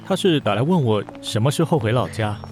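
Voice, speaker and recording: A man's voice: medium pitch, expressive, brisk, a little mellow, faint music behind, close to an everyday voice. An actor playing an aloof, curt male boss of about thirty in a modern comedy radio drama. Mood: weary. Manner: curt, cool, exasperated.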